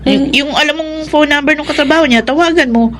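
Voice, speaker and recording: An actress with a Filipino accent playing a busy, trusting wife and shopkeeper, chatty and practical, in radio drama style. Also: female voice, high voice, a little fast, a bit bright. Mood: reassuring.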